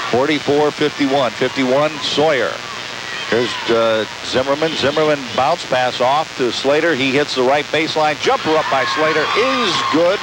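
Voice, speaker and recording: A man doing live radio play-by-play of a basketball game, calling the action in clipped present-tense phrases. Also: medium pitch. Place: courtside in an indoor sports dome. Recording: very noisy old radio recording with hiss.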